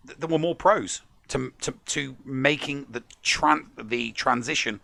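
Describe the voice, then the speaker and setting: A man's voice: medium pitch, measured pace, creaky, sharp tone, no room sound. A man hosting a podcast in casual live-stream chat, jokey, laughing often and repeating words when he gets going. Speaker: podcast host.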